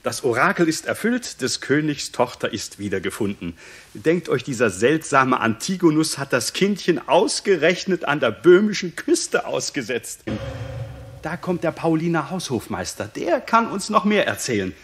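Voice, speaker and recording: An excited, high-voiced courtier. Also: male voice, moderate pace. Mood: excited, curious. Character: excited, inquisitive gossiping male courtier. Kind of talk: 1960s German radio play acting.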